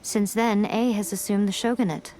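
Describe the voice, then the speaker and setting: A woman's voice: mid-range voice, steady tone, moderate pace, warm tone, lightly acted. A woman voicing a sly, playful fox-spirit woman in a game, with a poised, teasing way of speaking. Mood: calm. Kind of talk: game dialogue.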